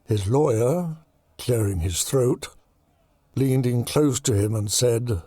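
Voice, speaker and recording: A man narrating a horror audiobook in a deliberate, dramatic storytelling style. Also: medium pitch, warm tone, no room sound.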